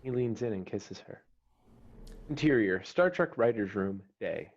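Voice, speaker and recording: A man's voice: lowish voice, expressive, measured pace, highs cut off. A man reading screenplay stage directions as a narrator, terse and declarative.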